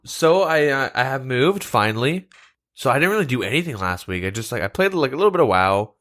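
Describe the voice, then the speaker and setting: A man's voice: medium pitch, big pitch swings, fairly quick, a bit bright. Podcast co-host, male, plugged-up nose, easygoing. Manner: laid-back, lots of fillers like "like". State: stuffed-up nose from a cold. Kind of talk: casual chat. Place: shared room, two mics.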